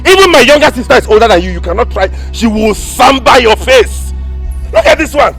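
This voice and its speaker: An actor with a Nigerian accent playing an indignant man who protests loudly and wants his money back. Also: very high voice, expressive, fairly quick, faint music behind, full character voice. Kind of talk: Nollywood drama acting.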